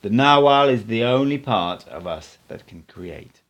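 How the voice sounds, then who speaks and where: A man's voice: medium pitch, lively intonation, unhurried, fairly bright tone, muffled recording. A man reading the part of an unruffled male mystic teacher in an audiobook, instructive, cryptic and teasing. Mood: calm, explaining. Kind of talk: audiobook character dialogue.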